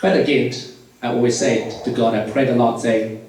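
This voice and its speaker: A man from Malaysia in his forties, a surgeon telling his life story to a church youth group in a relaxed, plain way with many "um"s. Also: medium pitch, normal speed, a little hiss or hum. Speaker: surgeon giving a testimony. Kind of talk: personal testimony talk to youth. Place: church hall. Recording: very echoey hall, voice from far off, faint music.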